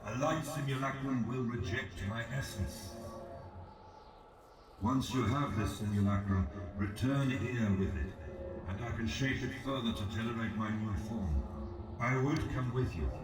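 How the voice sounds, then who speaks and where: An actor voicing an ancient, regal male god in a video game, speaking in a calm, formal, measured and solemn manner. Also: medium pitch, close to an everyday voice. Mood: commanding, composed. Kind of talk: scripted video game dialogue. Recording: cavernous echo, distant, music and ambience behind.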